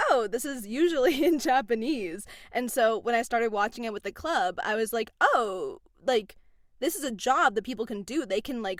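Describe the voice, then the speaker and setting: Brief: young woman, voice actress guest, high-ish pitch, dry close sound, chatty and animated. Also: no echo. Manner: chatty, animated, laughs between phrases. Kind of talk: casual interview answers.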